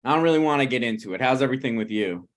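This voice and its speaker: A man's voice: mid-range voice, lively intonation, racing, close to an everyday voice. A man playing a down-on-his-luck, evasive thirty-something friend in a naturalistic comedy table read. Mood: glum, evasive. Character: unshaved, down-on-his-luck 37-year-old male friend, evasive.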